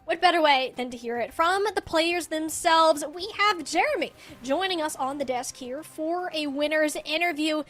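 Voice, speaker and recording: A woman's voice: high voice, moderate pace, few pauses, fairly bright tone. A woman hosting a live esports broadcast and interviewing in a chatty, casual way. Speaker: esports desk host.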